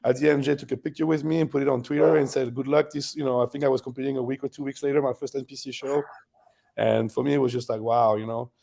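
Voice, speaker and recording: Gravelly French-accented man, warm tone. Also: mid-range voice, fast talker. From France. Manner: relaxed, laughs often, frequent fillers. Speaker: guest bodybuilder. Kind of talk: casual interview storytelling.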